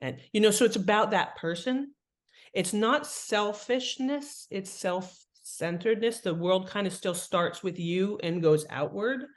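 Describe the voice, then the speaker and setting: A woman guest explaining her craft in conversational interview talk, with an animated manner. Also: medium pitch, measured pace. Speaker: guest, fiction writing author.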